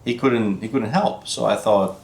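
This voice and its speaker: A low-pitched man in his fifties from Iran, talking as a physician guest on an interview show. He speaks reflectively and haltingly, often restarting his sentences. The recording has an echoey room sound. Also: vocal fry. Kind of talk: casual interview conversation.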